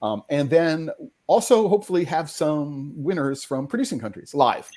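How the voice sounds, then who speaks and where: A man's voice: on the high side, lively intonation, normal speed, a little mellow. A man hosting a casual live-stream interview, talking conversationally with frequent ums and restarts. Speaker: host.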